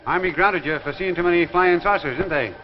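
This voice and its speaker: An actor playing a crazy, sly old small-town man in a 1950s drama, with a rural American accent and a folksy, rambling, excitable way of talking. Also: sits high, a little fast, over music, natural voice. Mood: needling, sly. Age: older. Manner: folksy, rambling, cackling, repeats himself. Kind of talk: 1950s television drama acting. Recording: noisy, muffled 1950s soundtrack with long reverb.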